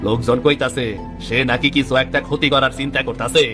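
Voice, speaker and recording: A man voicing a concerned, loyal villager who gives measured advice in a cartoon story. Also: mid-range voice, fairly even intonation, over music, lightly acted. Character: concerned male villager, cautious loyal friend.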